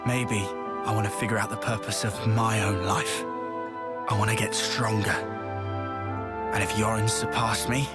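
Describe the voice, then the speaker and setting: A man's voice: on the low side, fairly even intonation, normal speed, long pauses, lightly acted. An actor playing an earnest, determined young male fighter in a video game, speaking sincerely and resolutely. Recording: loud background music over dialogue.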